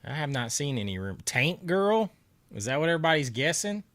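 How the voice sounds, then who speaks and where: A man's voice: fairly high pitch, big pitch swings, brisk, fairly bright tone, no room sound. Stuffy-nosed man chatting with viewers. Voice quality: nasal from congestion. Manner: chatty, laughs often, answers viewer comments. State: stuffy nose, sore throat. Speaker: livestream host. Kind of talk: casual live chat with viewers.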